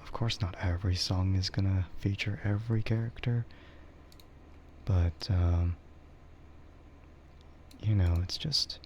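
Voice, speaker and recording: Low, slow, mellow male streamer. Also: fairly even intonation. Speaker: VTuber streamer. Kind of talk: casual rambling stream chat, ASMR. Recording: dull lo-fi microphone with faint noise.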